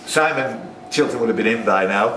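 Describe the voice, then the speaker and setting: A man's voice: on the low side, fairly quick, a bit bright, recorded from a distance. A man, acting as quizmaster, reading questions and bantering with the players. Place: pub, indoors among a group of players. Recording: roomy pub recording, off-mic, faint noise.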